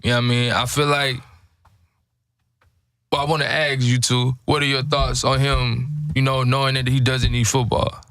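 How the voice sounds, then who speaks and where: Creaky, slangy American man hosting. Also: mid-range voice, little pitch movement, normal speed, fairly bright tone, dry recording. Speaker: podcast host posing questions. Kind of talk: casual slangy chat.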